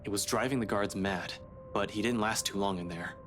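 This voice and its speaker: Male actor as police detective, low voice, grim, blunt, businesslike, visual novel game, faint music. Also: fairly quick, natural voice.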